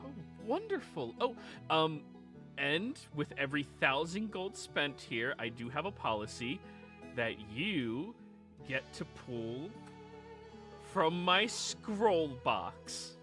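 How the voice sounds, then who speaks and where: A man's voice: on the high side, sing-song, a little slow, bright, forceful tone, heavily acted. A man voicing a helpful, courteous shopkeeper of magic wares in a tabletop game. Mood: delighted. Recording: music playing behind the voice.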